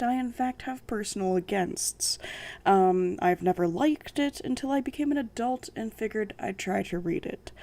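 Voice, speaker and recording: A woman hosting a book channel, reading a novel aloud and commenting on it in a sassy, sarcastic way, her voice croaky from a sinus infection. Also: on the high side, dark tone. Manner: sniffing and coughing.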